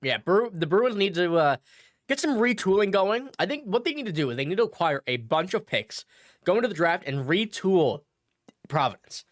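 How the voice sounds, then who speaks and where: A slightly nasal man, a bit under the weather, talks through a casual livestream and answers viewers' comments. His pitch sits a little high and swings a lot. The sound is dry and close, with no room echo. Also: sing-song, moderate pace. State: a bit sick. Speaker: sports channel host.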